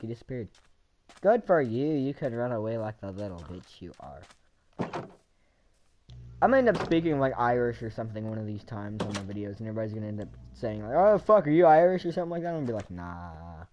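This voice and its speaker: A man chatting casually and profanely while playing a game, with sudden loud outbursts. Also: on the low side, sing-song, fast talker, highs cut off, background noise. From United States. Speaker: gaming video creator. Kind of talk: casual gameplay chat.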